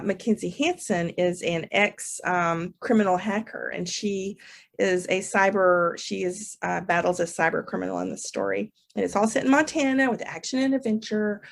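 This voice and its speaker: A woman's voice: medium pitch, gravelly. A woman novelist chatting as a podcast guest, often correcting herself mid-sentence. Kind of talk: casual interview chat.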